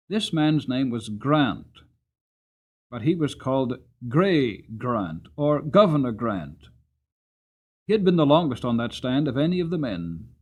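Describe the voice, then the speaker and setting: A man narrating a story as a dramatic audiobook reader, expressive and deliberate in his phrasing. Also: mid-range voice, slightly rough.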